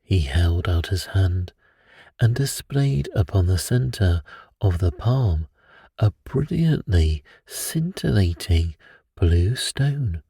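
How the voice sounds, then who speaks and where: Male narrator, low and mellow, slightly husky, unhurried soothing bedtime storytelling, dry close sound. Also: lively intonation, dry recording. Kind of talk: slow, calm scripted narration of a classic detective story.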